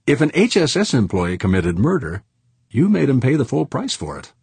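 A man acts out a cool, unruffled female negotiator from an audiobook. The voice is raised above the narrator's own, crisp and all business, with a condescending edge and a very dry sound. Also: mid-range voice, huge pitch swings, moderate pace, a little mellow, no echo, pitched above the performer's own voice, heavily acted. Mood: businesslike, controlled.

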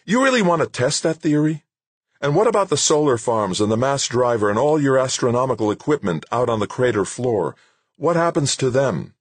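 A man voicing a brisk, good-natured but firm woman director in an audiobook, plain-spoken and patient. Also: mid-range voice, sing-song, slightly rough, dry recording, voice pushed up. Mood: snappy, firm. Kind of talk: acted audiobook dialogue.

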